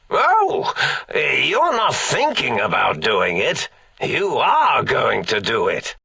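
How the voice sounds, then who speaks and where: An actor playing an eccentric, forgetful elderly mad scientist in a video game, creaky and raspy, theatrical, pompous and rambling. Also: male voice, medium pitch, pushed and bright, no echo, heavily acted. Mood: musing, confiding. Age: older. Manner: rambling, pompous, absent-minded, melodramatic.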